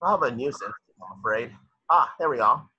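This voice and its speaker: A man's voice: mid-range voice, expressive, a little fast, sharp tone, breathy edge, full character voice. A man playing a self-important teenage school prefect, officious and matter-of-fact, reading a script on lo-fi audio. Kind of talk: table read of a film script.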